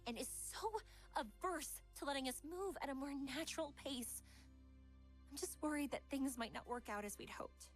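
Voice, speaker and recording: A woman's voice: high-pitched, normal speed, soft background music, close to an everyday voice. A woman voicing a refined, affectionate young psychologist in a visual novel game, with genteel, careful phrasing. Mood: troubled, earnest.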